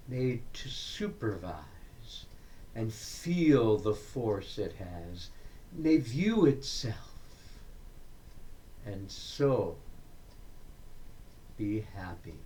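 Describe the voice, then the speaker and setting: A man reading a dramatic monologue in verse, in a deliberate, declamatory manner with frequent pauses. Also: lowish voice, big pitch swings, a little mellow. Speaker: poetry reader. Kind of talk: dramatic monologue poetry recitation.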